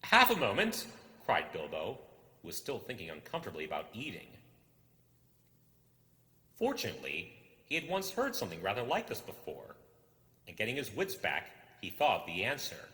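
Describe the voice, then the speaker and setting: A man reading a book aloud as expressive scripted narration, in the manner of a storyteller. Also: fairly low pitch, moderate pace, long pauses. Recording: echoing hall reverb.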